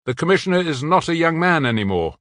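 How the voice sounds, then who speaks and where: A man reading the part of a patient country policeman who talks in a calm, coaxing, matter-of-fact way. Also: fairly high pitch, fast, dry recording, clean studio sound, pitched above the performer's own voice.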